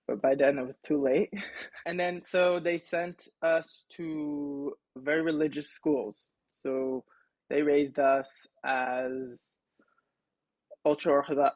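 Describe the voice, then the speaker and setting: A young man from Israel, a podcast guest, telling his story in relaxed casual talk with wry asides and many fillers, recorded on his own mic at home. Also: mid-range voice, slightly rough, no echo, rough recording. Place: home setup, self-recording.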